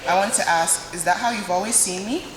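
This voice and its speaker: A young woman from Nigeria, giving a prepared student speech in a dramatic, emphatic way with pauses. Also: mid-range voice. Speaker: student speaker. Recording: echoey hall, noisy room sound.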